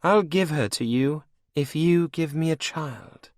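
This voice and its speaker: A man's voice: fairly high pitch, a little slow, darkish tone, dry recording, clean studio sound, pitched above the performer's own voice. A man voicing a mocking, imperious vampire queen. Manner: sing-song, mocking, imperious. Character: cruel scheming vampire queen stepmother, female. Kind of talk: audiobook character voice.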